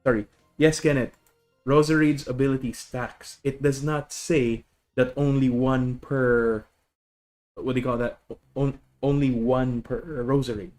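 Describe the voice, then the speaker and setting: Filipino-accented man, mid-pitched voice, chatty live streamer, faint music behind. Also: fairly even intonation, a little slow, a little mellow. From Philippines. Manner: chatty, self-correcting, greets viewers. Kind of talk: casual live-stream deck explanation.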